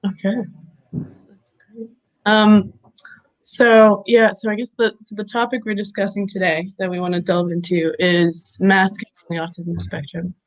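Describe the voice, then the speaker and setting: A woman hosts an online talk over a video call, speaking in an even mid-range voice. She is friendly but hesitant and fills her pauses with many ums. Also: medium pitch, low-quality recording. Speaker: talk host.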